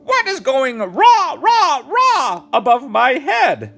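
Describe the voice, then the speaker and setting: A man voicing startled picture-book neighbours, with exaggerated, drawn-out, sing-song exclamations. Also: very high-pitched, wildly swooping pitch, gravelly, fairly bright tone, pitched above the performer's own voice, full character voice. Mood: startled, exclaiming.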